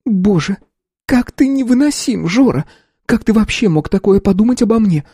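A man voicing the narrator's young adult sister, who speaks in a high-pitched, emotional way. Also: lively intonation, moderate pace, warm tone, husky, dry recording, clean studio sound, heavily acted. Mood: upset, tearful.

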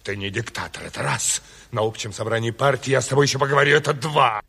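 An actor playing a brazen, boastful dock-loader turned commissar, swaggering and mocking in a Soviet radio drama. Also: male voice, mid-range voice, fast, vocal fry, noisy background, lightly acted. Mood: defiant. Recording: reverberant hall-like studio radio play.

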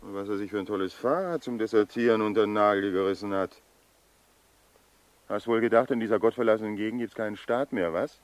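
A man's voice: lowish voice, brisk, close to an everyday voice. An actor playing a sarcastic, menacing German army lieutenant in a radio play, mocking and bullying as he talks. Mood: quietly menacing.

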